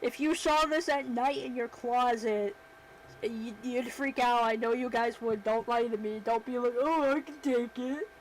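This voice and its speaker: A woman's voice: a little high, steady tone, unhurried, slight background noise, low-quality recording. A woman streamer chatting casually while she works, rambling and self-deprecating. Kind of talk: casual livestream chat while working.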